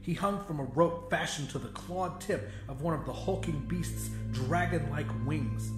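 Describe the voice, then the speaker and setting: A man giving a scripted, dramatic narration of a fiction audiobook. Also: a little high. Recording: roomy echo with faint music. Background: faint music.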